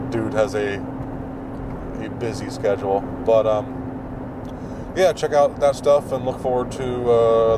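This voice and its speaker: A man hosting his own podcast, with a deep, mumbling voice, rambling through plugs with lots of fillers while he drives. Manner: rambling, filler-heavy, self-deprecating. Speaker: podcast host. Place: in a car while driving. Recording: distant mic with road noise.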